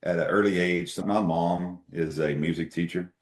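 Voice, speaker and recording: Very deep-voiced man, folksy storyteller. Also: steady tone, normal speed. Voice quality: very deep bass voice. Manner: folksy, candid storytelling with self-deprecating humor. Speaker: CEO guest. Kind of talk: casual interview conversation.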